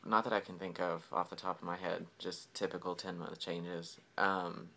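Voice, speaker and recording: A man's voice: sits low, level tone, moderate pace, vocal fry, sharp tone, slight background noise. A young man, talking casually in a video diary, rambling with lots of fillers and easy laughs. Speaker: vlogger.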